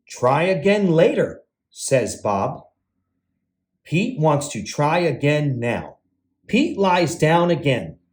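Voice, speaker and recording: A man hosting a read-aloud for young children, reading a storybook in an expressive, deliberate way with sing-song emphasis. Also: a little high. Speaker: children's read-aloud host, teacher and entertainer.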